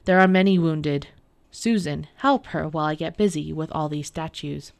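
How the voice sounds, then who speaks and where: A woman's voice: sits low, steady tone, moderate pace, creaky, darkish tone. A woman voicing a kindly, noble male lion in a storybook reading, solemn and reassuring.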